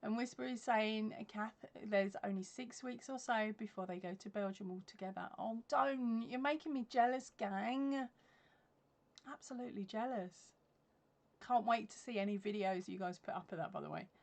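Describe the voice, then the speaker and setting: A woman's voice: fairly high pitch, normal speed, a little mellow. A woman, chatting casually on a crochet livestream from her craft room, laughing often and filling gaps with ums. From England. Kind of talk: casual live-stream chat reading comments. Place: craft room at home with yarn shelves. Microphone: webcam at desk.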